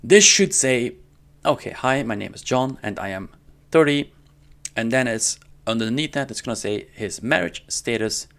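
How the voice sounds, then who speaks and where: A man giving a casual, step-by-step coding tutorial in an informal way, often checking in with the listener, with a gravelly voice. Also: medium pitch, lively intonation, moderate pace, a bit bright. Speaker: programming tutorial instructor. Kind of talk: casual screen-recorded coding lesson. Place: indoors at a computer.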